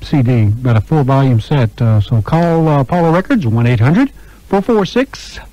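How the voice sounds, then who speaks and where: A man's voice: mid-range voice, lively intonation, normal speed, dark tone, no echo. A man hosting an oldies radio show with upbeat, chatty, informal announcer patter into a studio microphone. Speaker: radio DJ and interviewer. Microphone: close broadcast microphone. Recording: dull, lo-fi radio aircheck tape.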